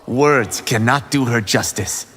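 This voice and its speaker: An actor voicing a cocky, boastful young martial arts fighter in a video game, speaking theatrically. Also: male voice, mid-range voice, measured pace, a bit bright. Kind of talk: video game character acting.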